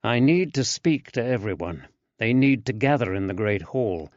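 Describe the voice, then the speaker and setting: Lavender, a man voicing a calm shrewd detective. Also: fairly low pitch, no echo, close to an everyday voice. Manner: measured, even-tempered. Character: calm, shrewd male detective, patient and measured. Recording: dull lo-fi, dry.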